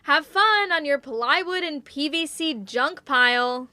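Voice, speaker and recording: A woman's voice: high voice, expressive, measured pace, pushed and bright, no echo, exaggerated voice. A woman playing a spoiled, imperious teenage homecoming queen who taunts her rival in an audio drama. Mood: smug, scornful. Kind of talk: scripted audio drama acting.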